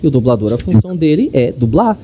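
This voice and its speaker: A man's voice: medium pitch, very animated, fast talker, warm tone. A man with a Brazilian accent co-hosting a podcast, chatting casually and giving emphatic opinions. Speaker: podcast co-host. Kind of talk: casual chat. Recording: clear background noise, room ambience.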